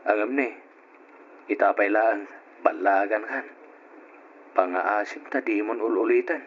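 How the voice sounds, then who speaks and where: An actor with an Ilocano accent playing a candid friend who scolds and advises in an old radio drama. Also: male voice, fairly low pitch, unhurried, natural voice. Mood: admonishing. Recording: lo-fi radio drama, faint noise.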